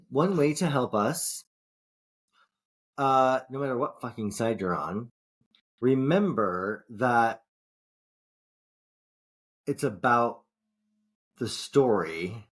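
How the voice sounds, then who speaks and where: A man's voice: medium pitch, a bit bright. A male podcast co-host with a mid-range voice, talking in a loose, rambling way. He swears freely and keeps restarting his thoughts. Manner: rambling, profane, frequent restarts. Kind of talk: casual podcast chat.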